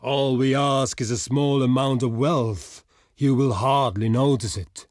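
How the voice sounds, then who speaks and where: A man's voice: mid-range voice, fairly even intonation, unhurried, no room sound, studio quality, lightly acted. Man playing a proud, solemn barbarian envoy. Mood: courteous, persuasive. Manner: formal, solemn, declamatory. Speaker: diplomatic messenger. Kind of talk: theatrical video game voice lines.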